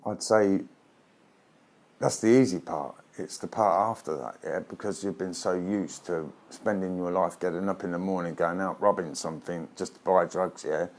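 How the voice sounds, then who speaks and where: Deep-voiced man, frank and streetwise. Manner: frank, streetwise, frequent "yeah?" tags. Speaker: interviewee. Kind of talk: candid interview. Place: indoor flat.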